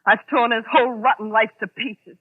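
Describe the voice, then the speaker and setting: A woman's voice: a little high, fairly even intonation, moderate pace, husky, dry recording. An actress playing a cold, bitter young secretary with anguish under her control, in intense 1940s radio melodrama style. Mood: bitter, defiant. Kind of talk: 1940s radio drama acting. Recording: muffled, band-limited vintage radio recording.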